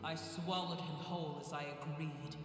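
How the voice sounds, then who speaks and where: An actor playing an ancient, proud, brooding dragon in a video game, speaking in a solemn, grandiose manner. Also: male voice, fairly high pitch, fairly even intonation, moderate pace, close to an everyday voice. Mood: grave, brooding. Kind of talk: scripted video game voice acting. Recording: cavernous echo, long reverb, game music behind.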